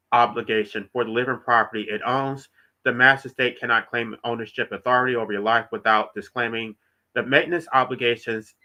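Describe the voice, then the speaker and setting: A man's voice: mid-range voice, sharp tone. A congested man with a nasal voice hosts a solo livestream, reading his own writing aloud and then explaining it in plain, emphatic terms. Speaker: author and channel host.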